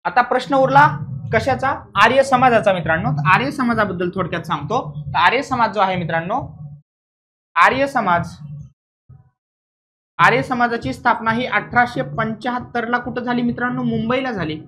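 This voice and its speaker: A man's voice: very high-pitched, moderate pace, sharp tone, rough recording. A man teaching an exam revision class in a didactic way, asking questions, answering them himself and repeating key words.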